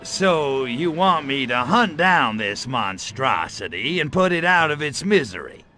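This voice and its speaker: A brash alien invader with a slow, sardonic drawl and a hard, bright edge. He is a cocky, impatient wisecracker in cartoonish video game style, with music underneath. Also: fairly high pitch, very animated, exaggerated voice. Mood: incredulous, impatient.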